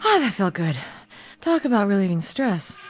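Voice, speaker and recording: A woman playing a brash, taunting young woman in an animated video game cutscene, brazen and confrontational. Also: medium pitch, moderate pace, soft background music, close to an everyday voice. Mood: relieved, smug.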